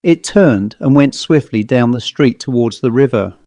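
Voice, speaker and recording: A man's voice: medium pitch, expressive, moderate pace, mellow, dry recording. A man reading a scripted ghost story as an audiobook narrator.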